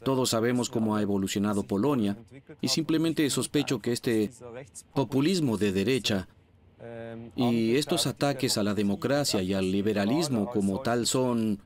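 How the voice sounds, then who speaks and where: A man's voice: mid-range voice, moderate pace, close to an everyday voice. A man voicing a journalist's travel reflections for a documentary dub, considered and articulate. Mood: thoughtful.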